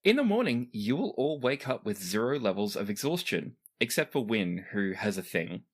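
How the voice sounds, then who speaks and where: A man's voice: fairly low pitch, very animated, moderate pace, husky. A man narrating a tabletop game as game master, conversational and explanatory with chatty asides. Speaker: game master of a tabletop role-playing podcast.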